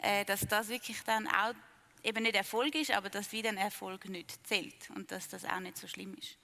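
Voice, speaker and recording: A woman's voice: mid-range voice, steady tone, normal speed, vocal fry, bright, forceful tone, big-room sound. A young woman with a Swiss German accent, an actress in the series, talking about her role with enthusiasm and youthful slang. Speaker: actress in the sitcom. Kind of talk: casual interview answers.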